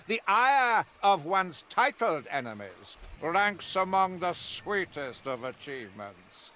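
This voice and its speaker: Pompous, zealous warrior priest, forceful and sharp. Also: male voice, sits high, full character voice. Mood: contemptuous relish. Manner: pompous, grandiloquent, deliberate pauses for effect. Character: zealous, righteous warrior priest and witch hunter. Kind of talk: theatrical video game mission briefing.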